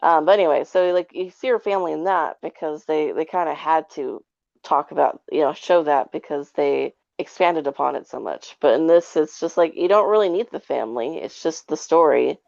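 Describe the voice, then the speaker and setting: A woman's voice: deep voice, moderate pace, gravelly, a little mellow, no echo, muffled recording. A woman giving her take in a casual, rambling review chat with an informal way of talking. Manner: rambling, informal, frequent 'like'. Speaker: podcast guest reviewer.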